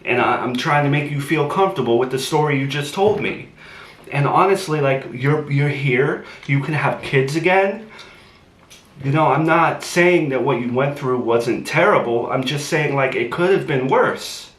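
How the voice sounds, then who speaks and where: A man's voice: medium pitch, moderate pace, natural voice. A man playing a defensive, tactless young boyfriend who argues in a rising, exasperated way in a comedic skit. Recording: roomy, distant mic, faint hiss.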